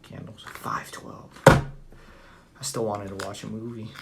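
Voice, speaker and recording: A man's voice: lowish voice, little pitch movement, slow pace, gravelly, very noisy. A young man with a Southern accent on a phone livestream at home, talking bluntly and profanely in a rambling way while answering chat. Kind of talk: casual live-stream chat reading comments.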